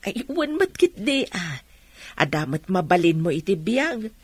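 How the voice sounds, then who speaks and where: Concerned, lowish-voiced female friend. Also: sing-song, unhurried, husky, no echo, exaggerated voice. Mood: coaxing. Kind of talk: Ilocano radio drama acting.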